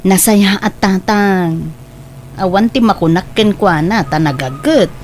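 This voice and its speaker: A woman playing a gentle, caring middle-aged aunt. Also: medium pitch, a little slow, slight background noise, close to an everyday voice. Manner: gentle and encouraging. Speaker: voice actor. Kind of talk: family radio drama.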